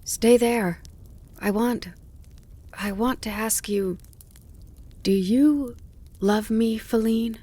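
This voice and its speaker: An ardent young stag, pleading with rising, pushing pitch and then turning steely, as a woman reads him aloud. His low-pitched voice is spoken in a storybook manner. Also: steady tone, a little slow, darkish tone. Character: young male deer, ardent and fierce.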